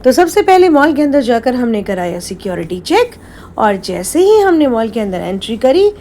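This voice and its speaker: Woman doing vlog narration, high voice, some english mixed in, noisy background. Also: very animated, moderate pace, dark tone. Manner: mixes English phrases into speech. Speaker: family vlogger. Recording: background noise behind voice.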